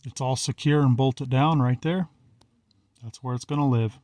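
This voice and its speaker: A man's voice: medium pitch, normal speed, darkish tone, dry recording, studio quality. Man giving a plainspoken hands-on walkthrough. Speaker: vlogger showing a vehicle radio installation. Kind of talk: casual hands-on walkthrough narration. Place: outdoors around and inside a parked truck.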